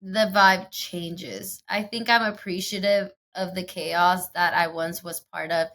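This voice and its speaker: A young woman co-hosting a podcast in casual chat, laid-back and quick to laugh. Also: on the low side, fairly even intonation, a bit bright. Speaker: podcast co-host.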